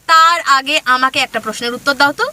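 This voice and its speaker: A woman's voice: high voice, quick pace. A woman playing a fiery young wife who argues back indignantly in a radio play. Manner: sharp, indignant, argumentative.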